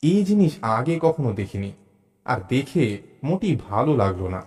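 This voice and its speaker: A man's voice: medium pitch, sing-song, normal speed, darkish tone. A man narrating a suspense story in the first person, in a dramatised audio-story style. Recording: music behind the voice. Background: music.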